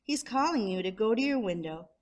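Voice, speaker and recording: A woman's voice: mid-range voice, lively intonation, a little fast, a little mellow. A woman storyteller reading a children's picture book aloud expressively, drawing out descriptive words. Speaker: storyteller, show host.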